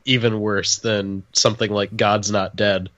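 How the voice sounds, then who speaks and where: A man chatting casually and jokingly about films as a podcast guest, in a halting, hesitant way. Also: fairly low pitch, little pitch movement, a bit bright, lo-fi sound. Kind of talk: casual joking film chat.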